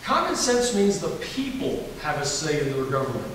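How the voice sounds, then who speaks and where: A Southern-accented man with a high voice speaks emphatically and repeats himself. He is recorded from far off in a large hall with heavy echo and long reverb. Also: sing-song, moderate pace, a bit bright, a little hiss or hum. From Alabama. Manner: emphatic, folksy, repetitive. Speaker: candidate for governor. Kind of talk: campaign forum answer.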